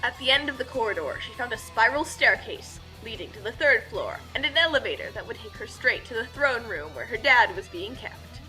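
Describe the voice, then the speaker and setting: A woman's voice: on the high side, animated, brisk, few pauses, pushed and bright, rough recording. A woman narrating a scripted comic fan fiction tale in a theatrical, mock-epic manner, with music behind her.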